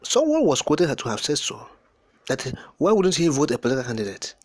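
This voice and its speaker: A man's voice: fairly high pitch, wildly swooping pitch, fairly quick, slightly rough, dry recording. A man with a Nigerian accent giving impassioned, unscripted political commentary in an emphatic, oratorical way, repeating and piling up words for emphasis.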